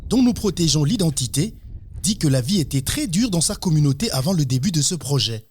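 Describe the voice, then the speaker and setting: A man with a slightly high-pitched voice narrates a news report from a script. He speaks as the reporter, with faint ambient noise behind him. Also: big pitch swings, brisk, darkish tone.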